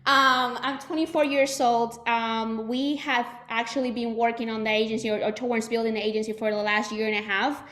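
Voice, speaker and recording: A woman's voice: on the high side, steady tone, brisk, few pauses, fairly bright tone. A woman in her twenties with a Puerto Rican accent, giving a chatty motivational training talk to her team with many fillers and restarts. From Puerto Rico. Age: 20s. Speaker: insurance agency owner giving a talk.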